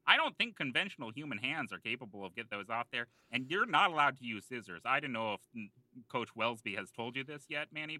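A man co-hosting a comedy podcast in improvised, in-character banter, speaking in a halting, rambling, mock-earnest way. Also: mid-range voice, bright, forceful tone, dry recording, rough recording. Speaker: podcast co-host.

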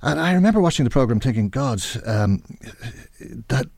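A middle-aged Irish man hosting a radio current affairs interview, speaking in a measured, halting way. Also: medium pitch, lively intonation, vocal fry, darkish tone, no room sound, clean studio sound. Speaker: radio show host.

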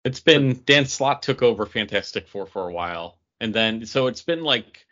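A man's voice: mid-range voice, animated, moderate pace, a bit bright. A man talking casually as a co-host on a comic book podcast. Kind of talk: casual chat. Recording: dull, band-limited audio.

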